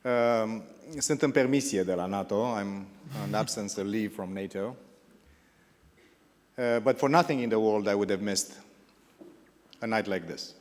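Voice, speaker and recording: A middle-aged man with a Romanian accent delivers gala welcome remarks in a rather low voice, pausing often and circling back to rephrase. His words ring out across a big banquet hall through a stage microphone, with a long reverb trailing each phrase. He talks in loose, unscripted lists. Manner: halting and discursive, restarting phrases and listing words. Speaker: founding president giving welcome remarks. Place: large indoor gala hall.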